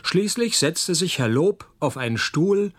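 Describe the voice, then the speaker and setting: An unflappable private detective telling his case in a radio play. His husky voice sits a little high for a man. The delivery is wry, deadpan and sardonic. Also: a little slow. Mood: dry, deadpan. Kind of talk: scripted radio play narration and dialogue.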